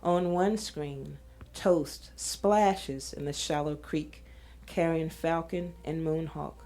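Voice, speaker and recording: A woman's voice: low-pitched, fairly even intonation, slow, dark tone. A woman reading scene directions as a scripted narration.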